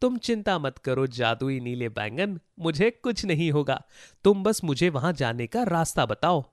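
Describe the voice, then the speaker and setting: Man playing a high, animated kind boy. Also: unhurried, no echo, clean studio sound. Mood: caring, determined. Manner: earnest, animated. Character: kind, brave poor boy, eager to help. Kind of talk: Hindi children's moral story voice acting.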